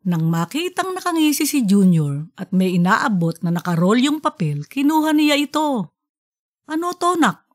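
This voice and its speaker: A woman's voice: mid-range voice, big pitch swings, normal speed. A woman narrating a scripted comic story in colloquial language, as an audiobook podcast narrator.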